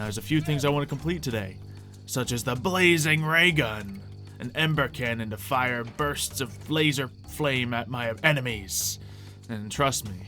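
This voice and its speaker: Animated male gaming host over music. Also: medium pitch. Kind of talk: playful in-character gameplay commentary. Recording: music behind the voice.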